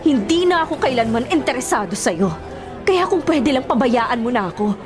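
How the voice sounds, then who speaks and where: A woman's voice: a little high, animated, normal speed, lightly acted. A woman playing a firm, reproachful young married mother rebuffing her ex in a radio drama. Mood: indignant, resolute. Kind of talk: Filipino radio drama acting. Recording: music bed and crowd noise behind. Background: music, busy crowd sounds.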